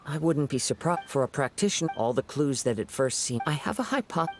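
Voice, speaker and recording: A man playing a calm, perceptive young wandering samurai in a video game, speaking in a measured, polite, formal way. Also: fairly high pitch, over loud music. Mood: thoughtful. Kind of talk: scripted video game acting.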